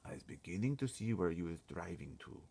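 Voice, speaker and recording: Man playing a timid, gravelly friendly giant. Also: low voice, lively intonation, fairly quick, mellow, heavily acted. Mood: pondering. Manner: ungrammatical, quaint speech, questioning. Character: big friendly male giant, simple, timid, wondering. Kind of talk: storybook read-aloud.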